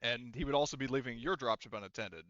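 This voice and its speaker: Casual, sweary male game master with clear voice. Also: medium pitch, fairly bright tone, no echo, lo-fi sound. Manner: casual, profane, halting. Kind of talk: improvised tabletop roleplay narration.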